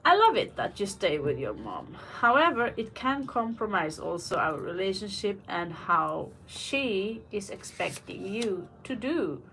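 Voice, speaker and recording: A woman's voice: mid-range voice, sing-song, unhurried, vocal fry, few pauses, fairly bright tone, a little hiss or hum, poor audio. A woman doing a live tarot reading, chatting in a rambling way with personal asides between the cards. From Norway. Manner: rambling, personal asides, reads out viewer questions. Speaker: tarot reader. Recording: faint music behind the voice.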